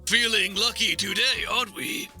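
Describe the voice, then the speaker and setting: A man voicing a malfunctioning animatronic robot card dealer with slow, theatrical showman's patter. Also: high voice, steady tone, pushed and bright, slightly rough, faint music behind, voice pushed up, heavily acted. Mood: taunting.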